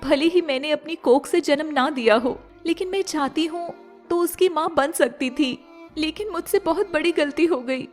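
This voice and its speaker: A woman voicing an emotional young stepmother and wife in a melodramatic animated moral story, speaking earnestly. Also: high voice, moderate pace, soft background music, voice pushed up, close to an everyday voice. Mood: pleading.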